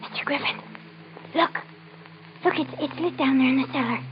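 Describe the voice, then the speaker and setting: A woman plays an anxious, superstitious older lady in a vintage horror radio play. Her high voice is hesitant and fearful, full of drama. The recording is muffled, with a little noise. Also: moderate pace, close to an everyday voice. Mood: alarmed. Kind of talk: old-time radio horror acting.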